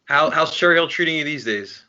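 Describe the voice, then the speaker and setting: A man's voice: on the high side, expressive, a little fast, pushed and bright, lo-fi sound. An American man hosting a podcast, chatting informally and effusively in an upbeat, casual way as he interviews a guest. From South Jersey, United States. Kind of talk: casual sports podcast chat.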